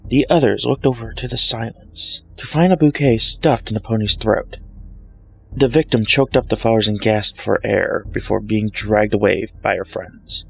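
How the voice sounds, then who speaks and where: Creaky-voiced man narrating a dramatic story. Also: normal speed, rough recording. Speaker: fan fiction reader, narrator. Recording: low-grade home microphone, music bed. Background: soft dramatic background music.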